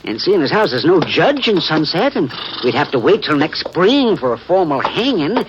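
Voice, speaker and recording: A garrulous small-town American westerner, with a high, very animated voice and a rustic twang. He sounds folksy and pleased with himself. The vintage radio sound is muffled. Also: measured pace. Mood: placating. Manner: folksy, garrulous, self-satisfied. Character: chuckling, boastful small-town western dentist leading a posse. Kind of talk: 1950s radio western acting. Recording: muffled 1950s radio recording, faint noise.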